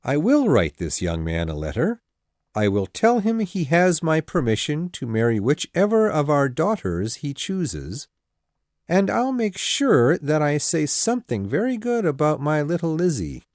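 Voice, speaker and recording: A man playing a teasing, stubborn middle-aged husband and father in a storybook, calm, wry and measured. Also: fairly high pitch, dry recording, studio quality. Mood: calm, mocking. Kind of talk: storybook dialogue acting.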